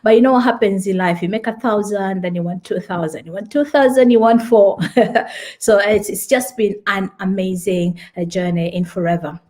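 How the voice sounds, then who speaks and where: A woman's voice: mid-range voice, normal speed, dark tone. A woman in her forties from Kenya, sharing her story with enthusiasm and some hesitant restarts. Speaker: lawyer and business presenter. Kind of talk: personal story and company presentation.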